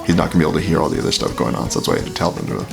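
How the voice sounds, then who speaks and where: A man with a very deep voice giving casual, filler-heavy commentary while reacting to a song. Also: racing, gravelly. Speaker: reaction video host. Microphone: headphones with mic. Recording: music playing under the voice. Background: music playing.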